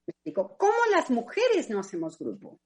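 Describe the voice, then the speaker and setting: A Mexican woman with a Mexican accent, a psychoanalyst talking on a radio panel in a thoughtful, personal way with frequent pauses to search for words. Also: fairly high pitch, wildly swooping pitch, dark tone. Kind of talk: reflective radio discussion.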